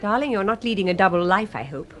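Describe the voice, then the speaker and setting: An actress playing a breezy, teasing English society wife in a radio drama. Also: female voice, mid-range voice, expressive, fast talker, natural voice. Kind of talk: BBC radio drama acting.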